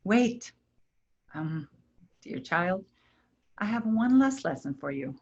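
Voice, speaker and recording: A woman in a staged play reading as a wise, gentle river spirit, giving measured, earnest guidance. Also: medium pitch, very animated, creaky, husky, full character voice. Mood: tender, encouraging. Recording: dull lo-fi recording.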